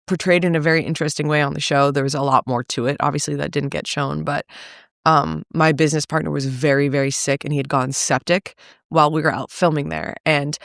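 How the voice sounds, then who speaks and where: A woman's voice: low-pitched, steady tone, a little fast, no room sound, clean studio sound. A woman from Salem, Massachusetts, a reality show cast member speaking frankly as a podcast guest, with rambling sentences full of fillers and casual swearing. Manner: frank, run-on talk with frequent fillers and mild swearing. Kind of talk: candid conversational interview.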